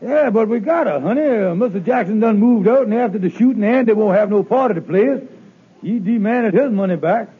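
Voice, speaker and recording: High-pitched male comic hustler, folksy dialect and stalling delivery, on tinny old radio with audience noise. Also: a little fast, slightly rough. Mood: pleading. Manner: folksy dialect comedy, hemming and stalling, ungrammatical. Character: wheedling, fast-talking schemer landlord, hen-pecked husband. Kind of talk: 1940s-50s radio comedy acting.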